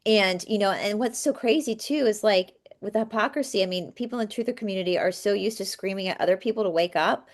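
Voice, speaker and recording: Passionate woman co-host, rambling. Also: mid-range voice. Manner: passionate, fast, rambling with restarts. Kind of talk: casual video chat.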